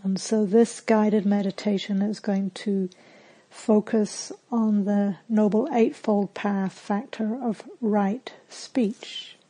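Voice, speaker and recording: Slow, very mellow woman guiding meditation. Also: mid-range voice, poor audio. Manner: calm, gentle, soothing, with long pauses. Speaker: meditation teacher. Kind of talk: guided meditation.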